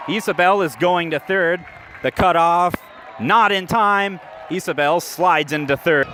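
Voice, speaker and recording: A man's voice: a little high, fairly bright tone. A man with an American accent calling baseball play-by-play live for radio. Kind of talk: live radio sports commentary. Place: outdoor minor league ballpark. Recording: outdoor ballpark, crowd and background noise. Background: stadium crowd and ambient noise.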